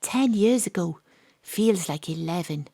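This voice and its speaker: A woman playing a world-weary, wry private detective, narrating a noir audio drama in a deadpan way. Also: lowish voice, measured pace, creaky, slightly rough, dry recording, full character voice. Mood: deadpan, observant. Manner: deadpan, dry. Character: female private detective, world-weary, wry, hard-boiled ex-rugby star.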